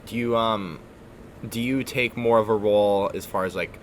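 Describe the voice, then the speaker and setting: A man interviewing a musician, asking halting questions with many restarts. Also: on the low side, fairly even intonation, slight background noise.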